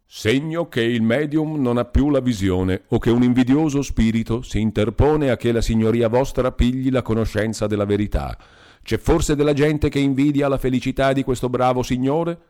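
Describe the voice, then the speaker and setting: A man's voice: mid-range voice, normal speed, mellow, natural voice. A man voicing a bearded charlatan doctor-hypnotist who talks in a pompous salesman's patter. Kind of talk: audiobook character voice.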